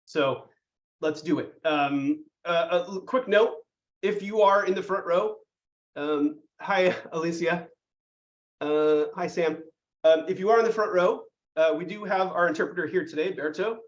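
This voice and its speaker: A man's voice: fairly high pitch, measured pace, highs cut off. A man hosting a live online baking class over a video call, chatty and upbeat, with lots of fillers and puns. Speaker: host.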